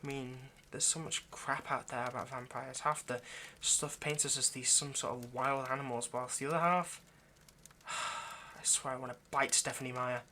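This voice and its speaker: A man playing an anxious, lovesick vampire kidnapper in an intimate audio roleplay, speaking in a halting, pleading way. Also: mid-range voice, steady tone, pushed and bright, a little hiss or hum. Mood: weary, explanatory. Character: anxious, lovesick male vampire kidnapper, centuries old but youthful.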